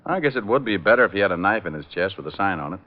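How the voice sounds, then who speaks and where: Deep, wisecracking radio private eye. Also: male voice, very fast. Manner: glib, wry, deadpan quips. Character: wisecracking, flirtatious male private detective narrating his case. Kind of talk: 1940s-50s radio detective acting, hardboiled narration. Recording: muffled old-time radio recording with music.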